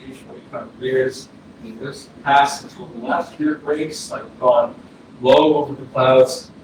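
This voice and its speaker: A man's voice: mid-range voice, fairly even intonation, a little slow, natural voice. An actor playing a wistful, bitter dead young man in a staged play reading. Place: arts center stage. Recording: very echoey hall, distant, faint noise.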